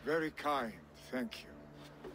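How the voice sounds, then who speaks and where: Man playing a slow-spoken Viking heir, ambient noise. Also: medium pitch, natural voice. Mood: sincere, subdued. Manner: deliberate, sincere. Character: grieving Viking chieftain's son, plain-spoken and dignified. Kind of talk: video game dramatic acting. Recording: clear ambient noise behind voice.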